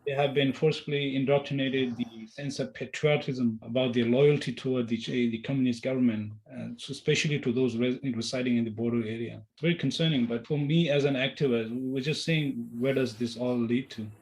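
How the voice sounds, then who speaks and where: A man from Nepal speaks as an activist guest in an interview. His voice sits at an ordinary middle pitch, and he talks haltingly, full of fillers and restarts. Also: fairly even intonation, darkish tone, poor audio. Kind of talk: remote interview answer.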